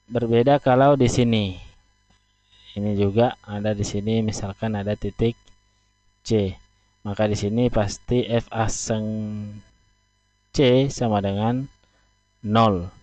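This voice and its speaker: A man teaching math, talking through a graph step by step with slow, deliberate pauses. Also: lowish voice, steady tone, a little mellow, no echo. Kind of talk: explaining at a board. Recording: dull, dry lo-fi recording.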